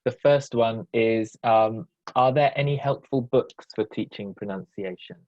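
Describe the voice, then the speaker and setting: A woman's voice: very deep voice, unhurried, dry recording. A woman moderating a webinar, reading out questions from the audience. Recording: dull lo-fi video call.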